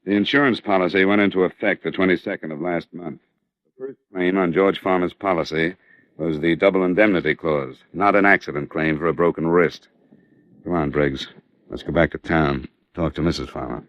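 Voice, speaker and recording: A man's voice: sits low, fairly quick, dry recording, lightly acted. A man playing a shrewd, persistent insurance investigator with clipped, pressing delivery in a 1950s radio crime drama. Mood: brisk, assured. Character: steely male insurance investigator, shrewd, persistent, hard-boiled. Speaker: lead detective and narrator. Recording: dull vintage radio recording.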